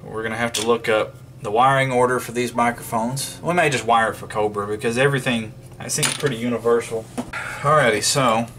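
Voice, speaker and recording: A man's voice: medium pitch, fairly even intonation, brisk, background noise. A man giving casual, unscripted narration of hands-on repair work in a folksy way, thinking aloud. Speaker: vlogger demonstrating radio repair. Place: indoors at a wooden workbench.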